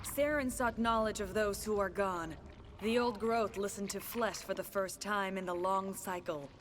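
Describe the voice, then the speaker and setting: A woman performs a menacing female alien, the voice of an ancient plant mind in a video game. She speaks in formal, archaic pronouncements with an imperious air. Her medium-pitched voice sits over clear background noise. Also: moderate pace, natural voice. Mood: cold accusation.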